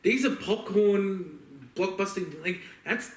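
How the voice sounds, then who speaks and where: A man's voice: high voice, takes it slowly, recorded from a distance. A man co-hosting a podcast, chatting casually in a rambling, emphatic, sweary way. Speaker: podcast co-host. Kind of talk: casual opinionated chat about films. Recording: roomy echo, off-mic.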